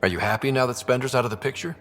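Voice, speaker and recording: An actor playing a young explorer and team leader in a video game, husky, easygoing and curious. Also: male voice, mid-range voice, racing, a bit bright, in a large hall, natural voice. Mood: casual, curious. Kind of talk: video game character acting.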